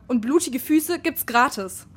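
Earnest, high-voiced girl. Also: lively intonation, measured pace, breathy edge, soft background music, full character voice. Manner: earnest, insistent. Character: earnest, eager schoolgirl turned double agent. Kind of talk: children's radio play acting.

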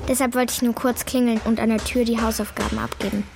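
A woman's voice: fairly high pitch, little pitch movement, fast, creaky, over music. A female performer playing a reluctant, candid schoolgirl in a children's radio play, speaking in a hesitant, defensive, childlike way.

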